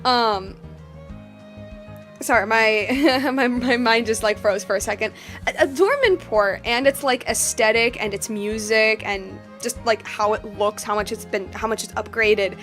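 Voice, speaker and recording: A woman giving casual, playful commentary as she plays through a game, rambling and excitable. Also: fairly high pitch, unhurried. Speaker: gaming streamer. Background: game music.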